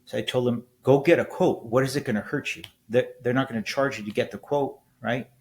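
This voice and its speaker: A man's voice: mid-range voice, fairly even intonation, fairly quick. A man in his fifties, a military veteran hosting a casual solo podcast, talking bluntly and rambling, often ending points with "right?". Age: 50s. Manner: blunt, rambling, frequent restarts and "right?" tags.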